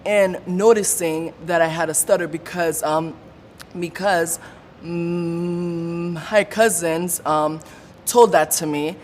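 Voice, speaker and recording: Woman, lowish voice, unhurried testimony, stutters with blocks and repetitions; reverberant room, faint rumble. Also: steady tone. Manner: stutters with repeated sounds, blocks and pauses. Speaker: support group member sharing her story. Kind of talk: personal testimony.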